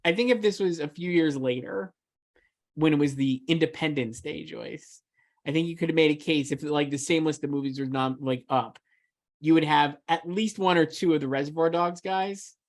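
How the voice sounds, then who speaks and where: A man's voice: on the high side, normal speed. Emphatic man chatting casually as co-host. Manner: emphatic, mildly sweary. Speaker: awards podcast co-host and pundit. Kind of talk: casual film awards chat.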